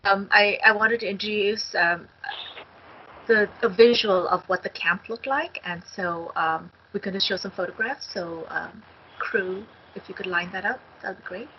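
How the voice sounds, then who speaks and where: A middle-aged woman from India, co-author, talking on a video call from a home study with a headset, halting with many ums. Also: medium pitch, a little hiss or hum. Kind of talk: casual talk on a video call. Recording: dull lo-fi video call audio.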